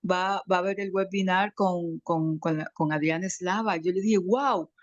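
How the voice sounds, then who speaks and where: A woman's voice: on the low side, fairly even intonation, no echo. Emotional woman with slight foreign accent. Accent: slight foreign accent in Spanish. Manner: tearful, grateful, halting with restarts. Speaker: webinar participant. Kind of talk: emotional personal testimony. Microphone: video call. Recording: dry, muffled video call audio.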